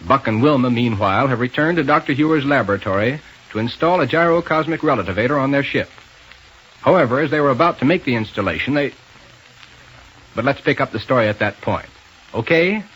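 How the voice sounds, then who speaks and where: A man's voice: mid-range voice, animated, brisk, a bit bright, breathy edge. An American man announcing an old radio serial and its sponsor with an enthusiastic, emphatic sales delivery. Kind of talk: 1930s radio announcing and ad reading. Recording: muffled, hissy old radio recording.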